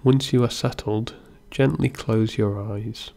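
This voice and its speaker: Slow, creaky-voiced man guiding meditation. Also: on the low side, steady tone. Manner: unhurried, gentle instruction with pauses. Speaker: guided meditation instructor. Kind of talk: guided body scan meditation narration.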